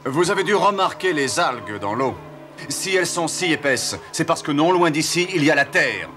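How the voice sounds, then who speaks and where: An actor playing a resolute, persuasive explorer admiral in an animated historical series, speaking with declamatory authority. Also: male voice, on the high side, normal speed, soft background music.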